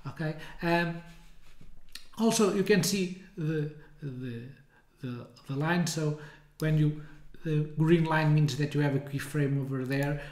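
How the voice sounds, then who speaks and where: A man in his thirties giving an informal, hesitant software demonstration, often rephrasing as he goes. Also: a little high, slow. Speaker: software tutorial presenter. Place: indoors, small room with window blinds. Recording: roomy, echoey indoor sound.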